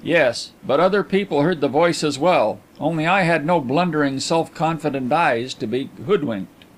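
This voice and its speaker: A man voicing a placid, self-assured, good-humoured gentleman in an audiobook, with a suave and courteous manner. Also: fairly high pitch, normal speed, slight background noise, close to an everyday voice. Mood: quietly amused. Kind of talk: audiobook character acting.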